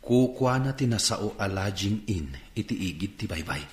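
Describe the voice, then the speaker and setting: A man's voice: on the low side, expressive, moderate pace, lightly acted. A Filipino man acting a humbled young job-seeker from a fallen rich family in a radio drama, speaking hesitantly and politely. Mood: earnest. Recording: roomy, echoing sound.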